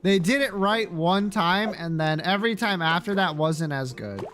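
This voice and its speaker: A man's voice: high voice, expressive, normal speed, a bit bright, faint music behind. A man livestreaming a game, chatting casually and bluntly with viewers in slangy, sweary, playfully opinionated talk. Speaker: livestreamer playing a video game. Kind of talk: casual gaming chat, reacting to viewers.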